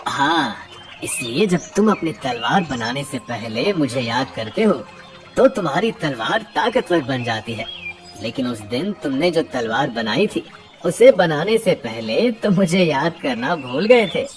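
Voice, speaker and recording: A man voicing a calm, kindly god in a cartoon, measured and reassuring. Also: fairly high pitch.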